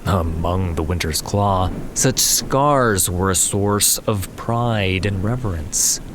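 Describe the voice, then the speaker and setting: A man with a low-pitched voice narrates a fantasy tale. His delivery is slow, measured and solemn, with faint ambience running behind the words. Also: background noise. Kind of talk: scripted fantasy story narration.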